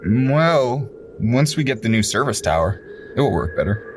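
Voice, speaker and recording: A man's voice: mid-range voice, big pitch swings, normal speed, noisy background, natural voice. An actor playing a nervous young man who tags along with his friend, teasing him and worrying about getting caught. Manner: teasing, laughs easily, worried. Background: music.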